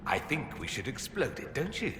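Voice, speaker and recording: An actor playing an urbane, sardonic mage companion in a video game, with an arch, dry, theatrical delivery. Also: male voice, mid-range voice, wildly swooping pitch, moderate pace, noisy background. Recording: echoey hall reverb under loud music.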